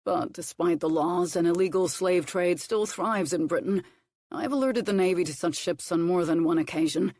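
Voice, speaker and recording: A reserved earl with a gentle, measured way of speaking, voiced by a woman narrating a storybook. His lines stay close to her own lowish voice, calm and dry. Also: no room sound.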